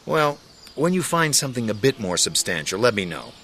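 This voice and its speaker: A pushy skeptical cop in a radio play, bright edgy voice, lively, faint outdoor noise. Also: male voice, medium pitch, fairly quick. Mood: skeptical, pressing. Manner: blunt, probing. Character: skeptical, blunt male police sergeant. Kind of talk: radio drama acting.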